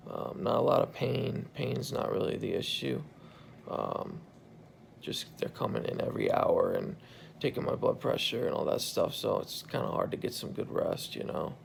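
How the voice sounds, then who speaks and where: A man in his thirties with a hoarse, croaky voice after intubation, speaking tiredly into a phone held at arm's length in a hospital room. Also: wildly swooping pitch, normal speed, slight background noise. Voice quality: gravelly, croaky. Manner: tired, low-key, relieved. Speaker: patient recording a video diary. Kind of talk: casual video journal.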